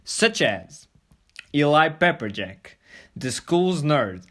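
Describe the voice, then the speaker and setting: A man's voice: on the high side, very animated, slow pace, fairly bright tone. A man hosting a scripted video review, playful and full of jokey asides. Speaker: host of a review show.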